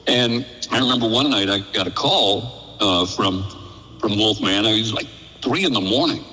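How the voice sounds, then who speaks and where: An older man, an interview guest and former radio programmer, telling casual anecdotes in an animated way and acting out exchanges. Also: on the low side, normal speed, long reverb tail, poor audio.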